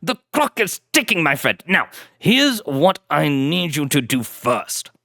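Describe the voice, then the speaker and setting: A man's voice: a little high, very animated, measured pace, gravelly, sharp tone, no echo, clean studio sound, voice pushed up, full character voice. A man voicing an exuberant, aristocratic male sea slug explorer who talks in bombastic upper-class exclamations.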